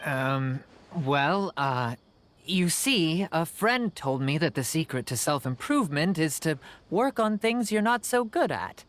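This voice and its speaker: Low, needling zealous friend. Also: female voice, very animated, measured pace. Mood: sheepish. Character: evasive, needling friend turned zealous desert believer. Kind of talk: video game voice acting. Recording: music behind the voice.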